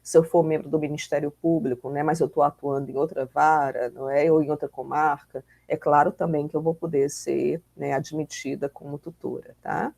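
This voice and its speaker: Low-pitched Brazilian woman lecturing, creaky voice. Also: little pitch movement, moderate pace, few pauses, mellow, dry recording. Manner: didactic, conversational, frequent tag questions. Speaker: law lecturer. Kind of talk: informal online lecture explaining with examples.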